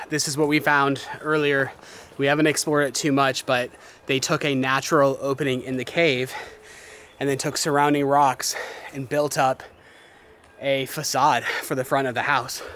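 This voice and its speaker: A man's voice: medium pitch, level tone, moderate pace, a bit bright, a little hiss or hum. A man in his thirties exploring a cave house on a wooded hillside, explaining what he finds to a handheld camera with curiosity. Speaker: vlogger and father. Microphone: handheld camera, sometimes at arm's length.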